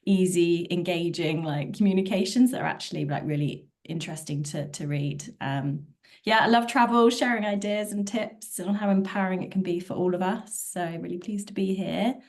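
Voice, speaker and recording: A woman's voice: on the low side, normal speed, a little mellow. A woman, a travel coach, speaking enthusiastically with frequent fillers on an online panel.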